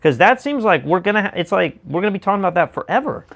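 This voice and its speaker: An American man co-hosting a casual discussion show, speaking emphatically with a slightly raspy voice and throwing out rhetorical questions. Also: high voice, sing-song, racing, a bit bright. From United States. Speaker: show co-host.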